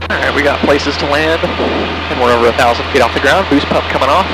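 A man's voice: mid-range voice, brisk, loud music behind the voice, poor audio. A young man talking casually and procedurally on an aviation headset while flying a small plane. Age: young adult. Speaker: pilot narrating his flight. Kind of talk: cockpit vlog and radio calls. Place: small airplane cockpit in flight. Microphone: aviation headset boom mic. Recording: loud aircraft engine noise, headset audio.

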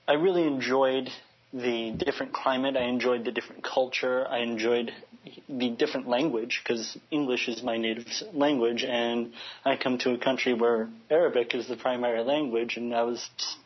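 A man from Chicago answers a radio interviewer earnestly, pausing and restarting as he hunts for the right words. His voice is husky and of middle pitch. The line sounds muffled and lo-fi, as if coming from far away. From United States, Chicago. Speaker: interview guest.

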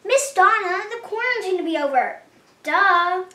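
A woman's voice: very high-pitched, expressive, a little slow, sharp tone, full character voice. A woman voicing an eager, cheeky little girl puppet in a children's show, childlike and full of exclamation. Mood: glum, impatient. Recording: roomy echo, distant microphone.

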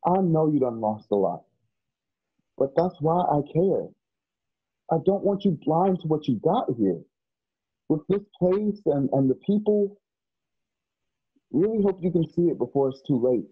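Earnest young trainee played by a man, higher-pitched, animated, folksy grammar, radio drama, lo-fi sound. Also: unhurried, long pauses, slightly rough. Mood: earnest, pleading. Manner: folksy, rural dialect. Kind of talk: remote radio play acting. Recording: dull lo-fi home microphone.

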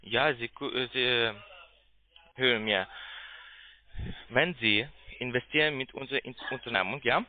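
A man speaks in a medium-pitched voice over a narrow, muffled phone line. He has a foreign accent and is not a native speaker. His sales pitch is halting and broken, as he keeps searching for words. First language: not German. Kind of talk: sales call. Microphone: telephone.

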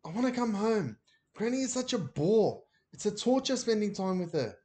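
A man's voice: sits high, very animated, normal speed, darkish tone, voice pushed up. Man doing a whispering, pleading young boy.

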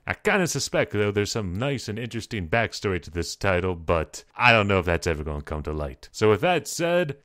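An American man doing comedic gameplay commentary, his fairly low voice swinging widely in pitch. He wisecracks sarcastically and throws in theatrical exclamations, recorded close and dry. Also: a bit bright, no room sound. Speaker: let's play host.

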